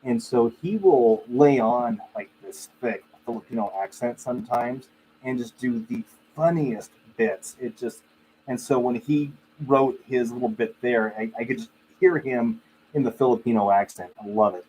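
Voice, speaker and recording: A man's voice: medium pitch, normal speed, a little mellow. Male livestream host, mid-range voice, casual opinionated storyteller, slightly noisy live recording. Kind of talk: casual chat with live viewers. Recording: clearly noisy live stream audio.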